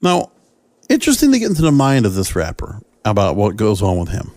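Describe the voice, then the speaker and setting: A man speaking as a podcast host, giving solo commentary in halting, short phrases. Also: on the low side, huge pitch swings, darkish tone, no echo.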